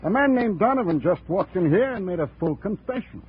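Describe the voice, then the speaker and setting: A man acting a gruff, matter-of-fact police lieutenant in a 1950s radio drama, with an offhand manner. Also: sits high, big pitch swings, a little fast, exaggerated voice. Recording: tinny, noisy old radio recording.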